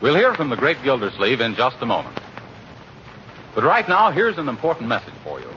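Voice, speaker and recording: A man's voice: medium pitch, wildly swooping pitch, brisk, over music. A man announcing in a scripted 1940s radio style, polished and emphatic. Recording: dull, slightly noisy old radio recording.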